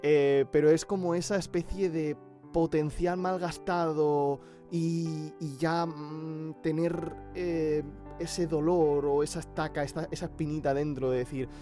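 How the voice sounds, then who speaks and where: A man from Spain with a Castilian accent talking reflectively in an interview, pausing to search for words. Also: a little high, fairly even intonation, warm tone, soft background music. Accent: Spanish (Spain). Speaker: amateur voice actor.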